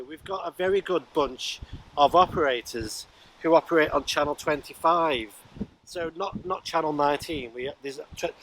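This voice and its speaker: Higher-voiced middle-aged man, noisy recording. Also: fairly bright tone. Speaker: amateur radio vlogger. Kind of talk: casual informal vlog talk. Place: windy high ground, in and around a parked car. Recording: windy outdoor noise, clicks.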